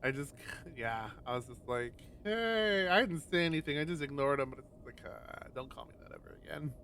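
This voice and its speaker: A man streamer reading a visual novel aloud and adding chatty, animated asides. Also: mid-range voice, brisk, a little hiss or hum.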